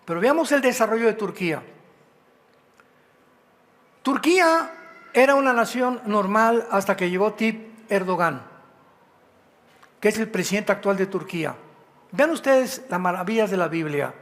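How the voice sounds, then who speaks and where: A Mexican man preaches with a high voice that rings through a large hall's long reverb. He is emphatic and a little tired, throwing rhetorical questions at his congregation as he teaches. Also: animated, measured pace, pauses often, a bit bright. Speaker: pastor. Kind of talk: sermon teaching. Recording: hall reverb.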